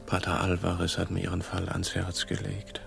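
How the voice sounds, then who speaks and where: An actor playing a weary, officious and bribable patent office director in a satirical radio play, with a pompous bureaucratic manner. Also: male voice, low voice, completely flat delivery, moderate pace, creaky, fairly bright tone, soft background music, full character voice. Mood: officious, obliging.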